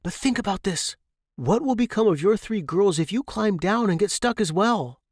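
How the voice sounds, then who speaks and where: A man voicing a flustered, earnest, kindly gentleman explorer in a storybook, exclaiming with old-fashioned politeness. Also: high voice, lively intonation, normal speed, no room sound, clean studio sound, pitched above the performer's own voice. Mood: urgent. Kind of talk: storybook character dialogue.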